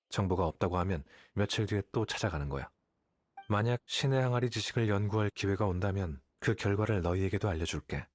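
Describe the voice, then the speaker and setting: A man playing a calm, aloof, calculating young scholar in a video game, explaining things in a composed, matter-of-fact way. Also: lowish voice, no room sound, lightly acted. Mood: cool, businesslike. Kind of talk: scripted game dialogue, Korean voice acting.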